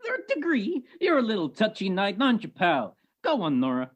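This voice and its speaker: A woman's voice: mid-range voice, wildly swooping pitch, moderate pace. A woman playing a suspicious old male police sergeant who talks in needling tough-guy slang in a radio detective play. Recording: muffled video-call recording.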